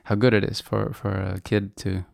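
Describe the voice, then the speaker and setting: A middle-aged man from Sweden, a podcast guest who speaks English hesitantly and softly, with many restarts. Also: on the low side, little pitch movement, normal speed, gravelly, dry recording, studio quality. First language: Swedish. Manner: hesitant, soft-spoken, many restarts, light laughs. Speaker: guest, musician. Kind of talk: podcast interview.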